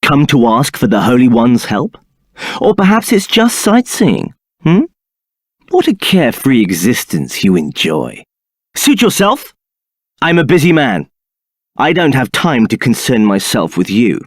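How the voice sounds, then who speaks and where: A man's voice: medium pitch, huge pitch swings, measured pace, no echo, clean studio sound, full character voice. An actor playing a scheming, arrogant male templar captain in a video game, speaking in a haughty, condescending manner. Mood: sneering.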